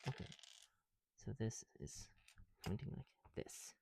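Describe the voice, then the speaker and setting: A man's voice: measured pace, dark tone, a little hiss or hum. A man doing casual ASMR commentary, muttering to himself close to the mic as he works through a hands-on task. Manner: talking to self, musing aloud. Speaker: ASMR video creator.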